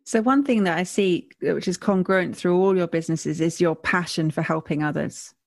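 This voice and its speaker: A woman interviewing a guest, asking calm, reflective questions. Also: on the low side, normal speed, gravelly, dry recording, clean studio sound.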